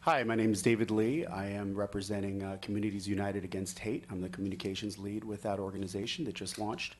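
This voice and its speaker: A man from Maryland introduces himself at a public meeting. He talks very fast in a fairly low voice. He rattles off his background in a quick list. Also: fairly even intonation, a little mellow. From Maryland, USA. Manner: brisk, listing things quickly. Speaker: community organization spokesman.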